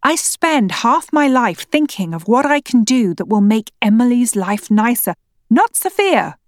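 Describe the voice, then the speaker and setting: A woman voicing a worn-out, angry father and husband in a family argument, shouting and pleading. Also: a little high, very animated, a little slow, dry recording, studio quality. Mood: furious. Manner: raised, pleading, exasperated. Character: angry exhausted middle-aged stepfather and husband.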